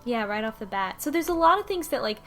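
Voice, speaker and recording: A woman's voice: on the high side, very animated, a bit bright, faint music behind. A woman casually recapping and discussing a story, often stopping to correct herself. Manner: halting, self-correcting. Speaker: podcast host.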